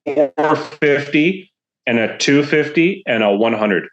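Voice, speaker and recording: A man talking as a sled dog racing guest in a casual podcast conversation, measured and rambling with many fillers. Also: on the high side, animated, dull audio. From Alaska. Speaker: musher representative, podcast guest.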